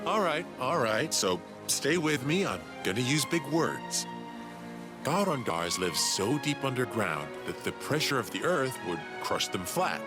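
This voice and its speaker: A man's voice: medium pitch, big pitch swings, measured pace. A man voicing an eager, cocky young alien inventor who explains things condescendingly in a video game. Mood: smug. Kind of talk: video game cutscene dialogue. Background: background music.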